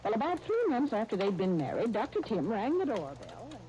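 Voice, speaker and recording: A woman's voice: on the high side, wildly swooping pitch, brisk. A woman playing a homely, cheerful storytelling housewife in a folksy, chatty 1930s radio style. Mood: earnest storytelling. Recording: noisy 1930s radio broadcast disc.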